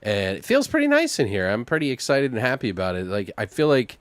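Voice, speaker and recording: A man in his thirties co-hosting a podcast in casual, slangy, animated chat with frequent swearing. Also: mid-range voice, quick pace, no echo. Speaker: podcast co-host. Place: home studio room.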